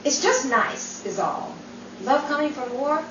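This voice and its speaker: A woman's voice: sits high, expressive, unhurried, a bit bright, far from the mic, highs cut off. A woman acting a genial, kindly character in a 1940s-style radio play, recorded in a noisy, echoey room. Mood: earnest, gentle.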